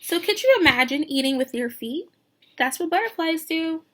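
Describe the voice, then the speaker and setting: A woman teacher reading a picture book aloud to young children, expressive and sing-song, pausing to put questions to them. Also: extremely high pitch. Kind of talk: read-aloud lesson with questions to young listeners.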